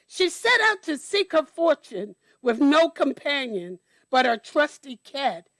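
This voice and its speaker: High-pitched woman reading storytime, unhurried. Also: no room sound. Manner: deliberate, explains details to young listeners. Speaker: church storyteller reading to children. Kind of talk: read-aloud storytime with explanatory asides.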